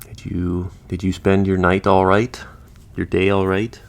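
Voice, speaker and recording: A man's voice: deep voice, monotone, measured pace. A man playing a chatty, easygoing surgeon who patches up the undead, explaining casually as he works in a listener roleplay.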